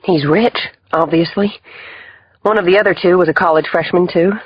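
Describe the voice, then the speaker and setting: Audiobook role: blunt, fiery woman investigator, husky and somewhat low, recorded very dry. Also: normal speed, no room sound, lo-fi sound. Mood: urgent, reasoning. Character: fiery, angry female federal agent, blunt and sharp. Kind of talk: audiobook drama acting.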